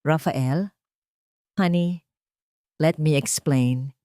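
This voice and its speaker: A woman plays a scheming young villainess in an audio drama. She pleads desperately and theatrically, slipping into English, with pitch that leaps up from her usual register. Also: extremely slow, dark tone, clear, resonant tone, no echo, studio quality. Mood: weak pleading.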